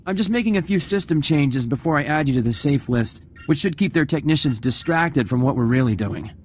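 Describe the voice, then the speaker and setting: A slightly high-pitched actor's take on a nervous young-sounding tech guy from a sci-fi video game. He stammers and mutters to himself, eager and easily flustered. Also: lively intonation, brisk, slight background noise, lightly acted. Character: nervous, eager male computer slicer.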